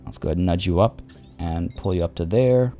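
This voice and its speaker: A fairly low-voiced young man chats casually over his game, his voice slightly worn. He rambles, restarts sentences and fills pauses with "uh" and "like". Faint game music plays softly behind him. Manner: rambling, many restarts and fillers. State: voice getting worn. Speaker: streamer. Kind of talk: casual gameplay commentary.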